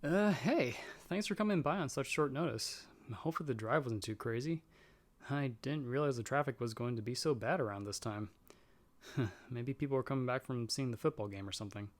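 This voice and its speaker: A man's voice: medium pitch, fairly quick, natural voice. A man playing a nervous, friendly young guy in an audio roleplay, talking in a casual, hesitant, self-deprecating way. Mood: friendly, flustered. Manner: chatty, hesitant, sheepish. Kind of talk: casual one-sided roleplay dialogue.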